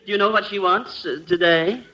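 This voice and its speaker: A man's voice: high-pitched, brisk, dull audio, close to an everyday voice. A man acting a cheery milkman in a 1950s radio comedy. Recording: noisy old radio broadcast.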